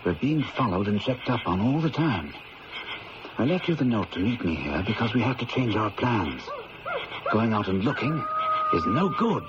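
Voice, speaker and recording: A worn-out, frightened crook. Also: male voice, lowish voice, huge pitch swings, normal speed, lo-fi sound. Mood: weary. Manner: weary, strained. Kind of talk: 1970s radio drama acting. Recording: hissy old radio broadcast.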